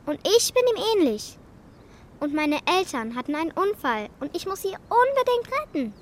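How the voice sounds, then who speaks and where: A woman's voice: extremely high pitch, big pitch swings, normal speed, a little hiss or hum. A woman playing an earnest, stubborn young girl in a children's radio play, talking in an insistent, pleading way.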